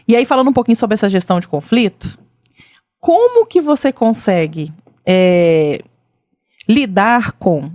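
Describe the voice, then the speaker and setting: A woman's voice: mid-range voice, a little mellow, no echo, clean studio sound. A woman with a Brazilian Portuguese accent hosting a casual podcast, thoughtful and searching for her words as she asks her questions. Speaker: podcast host.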